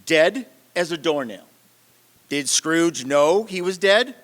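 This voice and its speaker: Man as slow, theatrical Victorian storyteller in a hall. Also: fairly high pitch, lively intonation, fairly bright tone. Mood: dramatic narrating. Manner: deliberate, dramatic storytelling. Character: genial, theatrical male Victorian author and storyteller. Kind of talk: old-time radio play narration on stage. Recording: long hall reverb, music behind.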